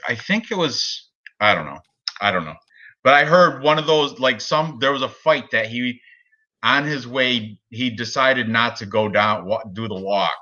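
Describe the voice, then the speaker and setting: Bright-voiced man rambling through picks. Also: lowish voice, lo-fi sound. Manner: rambling, laughs often, reads chat aloud. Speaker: co-host of a betting picks show. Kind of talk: casual chat.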